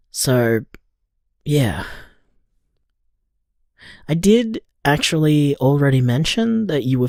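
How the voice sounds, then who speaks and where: An actor playing a tired, caring man who leaves a gentle, casual, reflective voicemail for his partner in an audio drama. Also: mid-range voice, no echo, studio quality.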